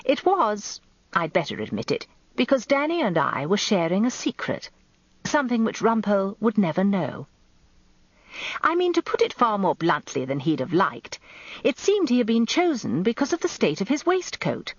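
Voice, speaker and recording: An actress playing a prim middle-aged barrister's wife, narrating a radio story in a confiding, arch manner. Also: female voice, mid-range voice, wildly swooping pitch, moderate pace, dry recording. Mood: confiding, flustered. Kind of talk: dramatised scripted storytelling. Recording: slightly dull, lo-fi radio recording, dry.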